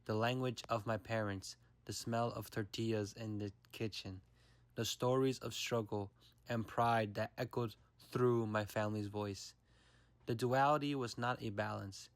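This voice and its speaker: A young man from the United States narrates a personal documentary, his voice sitting a little low. He reads steadily and thoughtfully, in a measured, reflective manner. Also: steady tone, dry recording.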